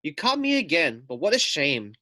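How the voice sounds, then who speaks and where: A man voicing a small young female lamb from a storybook, sly and calm, with a coaxing, flattering manner. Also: fairly high pitch, moderate pace, no room sound, voice pushed up, exaggerated voice.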